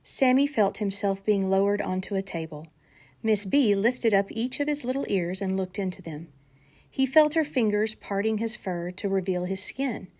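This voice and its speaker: A woman's voice: mid-range voice, expressive, normal speed, darkish tone. A woman reading a children's storybook aloud, with careful storyteller phrasing meant for young listeners. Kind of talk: animated read-aloud storybook narration.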